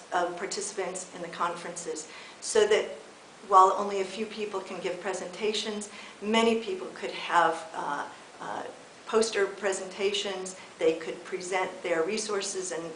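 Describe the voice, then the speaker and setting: A middle-aged American woman from California, giving a measured, earnest, slightly halting prepared video statement as an election candidate. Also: on the low side. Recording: echoey room with faint hiss.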